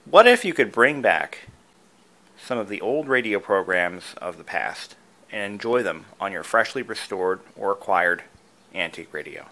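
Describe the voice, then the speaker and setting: Lower-voiced man giving a casual tutorial. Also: lively intonation, normal speed, pushed and bright, rough recording. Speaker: video creator and host, antique radio hobbyist. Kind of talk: casual how-to tutorial narration.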